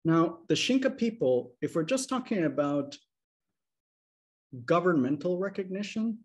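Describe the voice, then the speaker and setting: A man in his thirties giving a measured, explanatory academic lecture over a video call, checking in with his listeners. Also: high-pitched, animated. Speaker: linguistics lecturer. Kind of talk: academic slide presentation. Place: small room indoors. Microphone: video call webcam.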